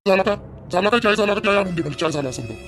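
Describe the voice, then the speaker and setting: A man's voice: high voice, lively intonation, very fast, a bit bright, faint music behind. A man playing an angry, controlling young village husband in a family cartoon, scolding and repeating himself in a Vidarbha Marathi accent. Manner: scolding, domineering, repetitive. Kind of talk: family drama cartoon dialogue.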